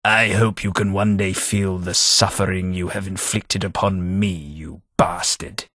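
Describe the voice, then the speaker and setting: A man with a British accent voicing a centuries-old, courteous gentleman companion in a video game, formal and dryly wry. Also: low-pitched, little pitch movement, unhurried, no room sound, studio quality, full character voice. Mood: wounded.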